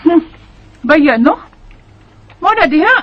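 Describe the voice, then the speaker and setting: A woman's voice: very high voice, expressive, slow, a bit bright, dull audio, heavily acted. A woman acting a sardonic Bisaya girlfriend who nags and chides her partner in a radio drama. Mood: grumbling.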